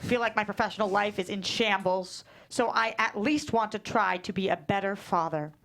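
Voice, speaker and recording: A woman's voice: medium pitch, moderate pace, a bit bright, natural voice. A woman playing a sensitive, self-pitying rich middle-aged father at a script table read. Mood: wounded, earnest. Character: sensitive wealthy middle-aged porn-director dad, male.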